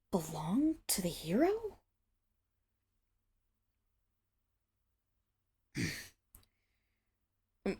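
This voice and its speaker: A young woman playing a proud, flustered noble Fenrir monstergirl in an ASMR roleplay, speaking formally with stammers and breathy, whispered dips. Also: mid-range voice, darkish tone, heavily acted. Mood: awed, then flustered, indignant. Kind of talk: ASMR roleplay acting, addressed to the listener.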